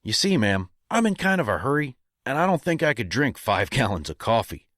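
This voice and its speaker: An actor plays a polite, naive wannabe cowboy with an American accent. His mid-range voice swings wildly in pitch with chipper earnestness, recorded very dry, in a comic western audio drama. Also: sing-song, brisk, fairly bright tone, no room sound.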